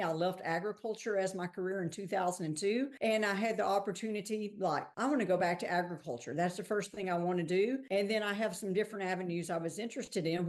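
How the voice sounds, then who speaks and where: A woman's voice: medium pitch, darkish tone. Folksy middle-aged Texan woman. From Texas, United States. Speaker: podcast guest, agricultural investment executive. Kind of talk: conversational interview answers.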